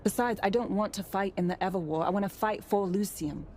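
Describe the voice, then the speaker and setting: A woman's voice: mid-range voice, fairly quick, a little mellow, slight background noise, lightly acted. An actress playing a determined, teasing teenage girl thief in a video game, with dry, casual retorts. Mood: earnest, teasing.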